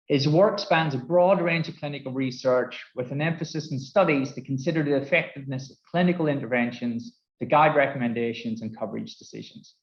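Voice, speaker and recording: Male moderator, scripted formal intro, echoey and muffled sound. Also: medium pitch. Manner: reads a prepared introduction. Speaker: conference moderator.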